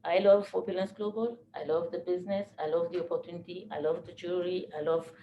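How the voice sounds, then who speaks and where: A woman's voice: fairly low pitch, steady tone, fast, dull audio. Earnest woman motivational speaker, noisy room. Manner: earnest, repeats phrases for emphasis. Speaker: presenter at a business team training. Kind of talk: motivational talk to a group.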